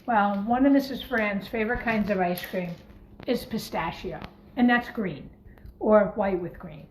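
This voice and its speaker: A woman teacher gently and encouragingly talking young children through a craft in simple words as she demonstrates it. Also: mid-range voice, measured pace. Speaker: primary school teacher leading a craft. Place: home kitchen, by the refrigerator. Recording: echoey room with faint background noise.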